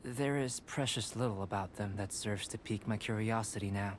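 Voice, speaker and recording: An actor voicing a calm, erudite young male alchemist who speaks in a measured, articulate, academic way. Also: fairly low pitch, heavy background noise, close to an everyday voice. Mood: assured. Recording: studio game voice with clear music.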